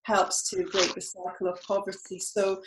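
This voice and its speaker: A woman's voice: medium pitch, little pitch movement, moderate pace, noisy background. A woman from the UK with a British accent, giving an informal, reflective presentation as a charity representative and explaining as she goes. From United Kingdom. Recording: roomy, echoing sound.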